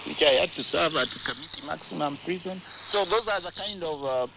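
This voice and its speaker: A middle-aged or older man with a Kenyan accent, recalling the past haltingly in a radio interview. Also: on the high side, gravelly. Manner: hesitant, halting delivery. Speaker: interviewee, former student activist. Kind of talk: recollection in an interview. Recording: noisy, hissy shortwave radio interview clip.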